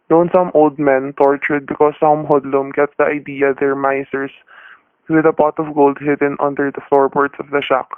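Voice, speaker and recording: A man acting as a middle-aged everyman husband in a vintage radio thriller. His voice sits a little high for a man and he talks in plain, folksy, world-weary phrases. The recording is dry and narrow, like an old broadcast. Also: no echo, natural voice. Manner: folksy, colloquial. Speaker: narrator and lead character. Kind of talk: old-time radio suspense acting, first-person narration.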